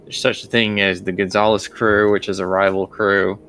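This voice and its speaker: A man narrating a tabletop game as game master, improvising scene descriptions in a halting way. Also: fairly low pitch, little pitch movement, fairly bright tone, slight background noise.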